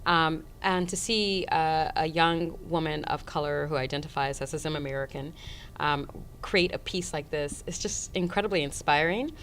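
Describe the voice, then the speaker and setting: A woman actor with a very low voice answers interview questions thoughtfully, pausing often with fillers before pressing her point. A faint rumble sits under the recording. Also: fairly bright tone. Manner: thoughtful, many fillers, grows emphatic. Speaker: actor guest. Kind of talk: casual interview.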